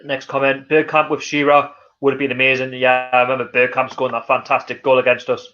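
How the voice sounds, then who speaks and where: A man's voice: mid-range voice, flat delivery, quick pace, bright, forceful tone, muffled recording. A man with a Geordie accent hosting a casual live stream, reading out viewer comments and reacting with lots of fillers. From North East England. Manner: reads viewer comments aloud and reacts, chatty with many fillers. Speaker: livestream host.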